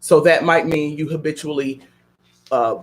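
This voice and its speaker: A man's voice: fairly high pitch, moderate pace, poor audio. A man on a radio talk show, speaking in a preacherly way and building his points with strings of repeated questions. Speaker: guest.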